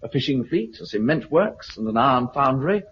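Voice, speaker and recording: Confident British survivor-colony recruiter, animated delivery, muffled, hissy 1950s radio sound. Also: male voice, medium pitch, normal speed. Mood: matter-of-fact, proud. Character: brisk, confident male recruiter for an island survivor community.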